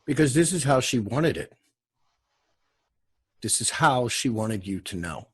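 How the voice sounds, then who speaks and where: A man with a husky voice reading scripted stage directions as the narrator of a screenplay. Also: mid-range voice, very animated, measured pace, fairly bright tone.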